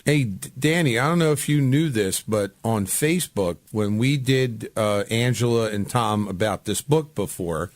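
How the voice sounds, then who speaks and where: A man's voice: on the low side, moderate pace, few pauses. A man hosting a radio show, chatting casually and informally with a guest as if with an old pal. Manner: chummy, informal address. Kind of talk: casual radio interview chat.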